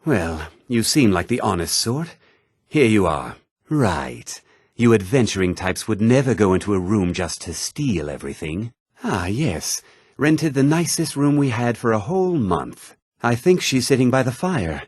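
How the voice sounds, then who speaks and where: A self-important, gossipy townsman from a fantasy video game. His husky voice runs a little low and swings widely in pitch, with articulate, theatrical phrasing. The sound is close and dry. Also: big pitch swings, unhurried, darkish tone, no room sound, heavily acted. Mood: polite, wry. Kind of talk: scripted fantasy game character dialogue.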